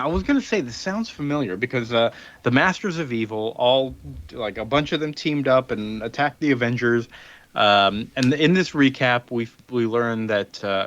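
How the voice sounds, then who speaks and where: A man's voice: mid-range voice, a little slow, fairly bright tone, no echo, lo-fi sound. A man hosting a podcast, recapping in casual chat and loosely acting out dialogue in an informal way. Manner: informal, acts out characters' dialogue in loose paraphrase. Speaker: podcast host.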